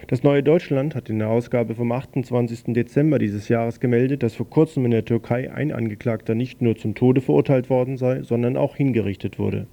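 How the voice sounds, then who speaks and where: A man presenting news on a small radio program, reading in a measured, matter-of-fact way. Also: medium pitch, creaky, dark tone. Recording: dull lo-fi radio recording.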